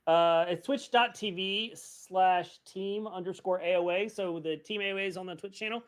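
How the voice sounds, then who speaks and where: A man with a fairly high voice talks as a podcast co-host. His delivery is casual and conversational, like chatting among friends. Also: normal speed, clean tone. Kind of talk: casual chat.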